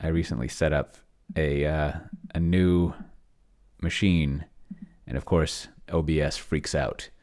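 Middle-aged male host, deep register, loose unscripted explainer delivery, offhand and digressive. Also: steady tone, takes it slowly, long pauses, darkish tone. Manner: rambling, self-interrupting, offhand. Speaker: host of a tabletop roleplaying tech channel. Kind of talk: casual unscripted screen-share walkthrough. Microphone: desk microphone close to the mouth.

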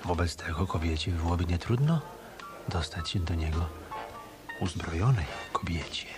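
Low-voiced tough hotel detective, acted by a man, music behind. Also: moderate pace, lightly acted. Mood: calm, wry. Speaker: radio drama actor. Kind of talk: Polish radio play acting, hard-boiled noir.

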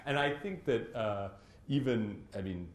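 A man speaking as a panelist, animated and halting, with fillers and restarts. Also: fairly low pitch. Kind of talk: panel discussion. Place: lecture hall stage, panel table. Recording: echoey hall, faint noise.

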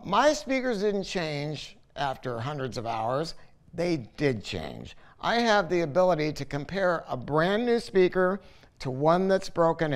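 Older male product reviewer, slightly higher register, conversational, opinionated, emphatic, unscripted feel. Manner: conversational, opinionated, emphatic with repeated words, casually sweary. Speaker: audio dealer presenting a product review. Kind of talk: informal video product review, talking to camera. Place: listening room at home.

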